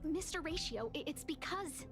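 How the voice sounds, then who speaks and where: An actress playing a polite, gentle young noblewoman in a video game, speaking in a conciliatory way. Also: high voice, animated, normal speed, over music. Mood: flustered. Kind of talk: scripted video game voice acting.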